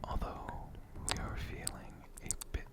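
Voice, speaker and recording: An ASMR host whispering softly and gently, with a breathy voice and deliberate pauses. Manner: gentle, slow, deliberate, with pauses mid-sentence. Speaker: host of an ASMR channel answering viewer questions. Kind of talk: soft-spoken whispered ASMR.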